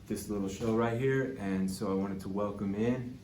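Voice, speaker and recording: A young adult man hosting a podcast in a casual, enthusiastic interview chat, asking questions and reacting with excitement. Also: lowish voice, moderate pace, distant mic, crowd noise. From Bay Area, California. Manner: enthusiastic, exclaiming. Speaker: podcast host. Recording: echoey room with background noise.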